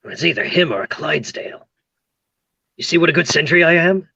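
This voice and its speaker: A woman playing a nosy, excitable neighbour on lookout in a table read, with a raspy, creaky voice. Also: deep voice, brisk, full character voice. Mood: urgent, conspiratorial. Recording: muffled, distant microphone.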